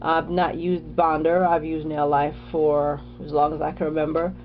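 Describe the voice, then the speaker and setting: A woman's voice: low voice, little pitch movement, moderate pace, dull audio, slight background noise. A woman casually talking through her nail care products, chatty, with many fillers and restarts. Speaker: beauty vlogger.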